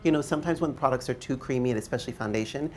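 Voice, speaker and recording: A woman on a makeup panel, explaining a product quickly and enthusiastically. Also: a bass voice, mellow, a little hiss or hum. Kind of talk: casual panel talk.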